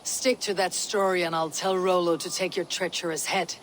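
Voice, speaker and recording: A woman's voice: fairly low pitch, level tone, normal speed, a bit bright, slight background noise, lightly acted, rough recording. A woman playing a stern, blunt Viking warrior in a video game, questioning in a terse, commanding way. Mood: accusing. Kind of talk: video game cutscene acting.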